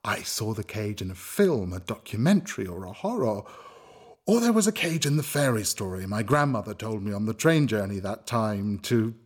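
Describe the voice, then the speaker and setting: Radio drama monologue, halting man with a husky voice and lively intonation, searching and revising as he goes. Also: on the low side. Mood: uncertain, searching. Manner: halting, searching memory, self-correcting. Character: hesitant man recalling experiments on children.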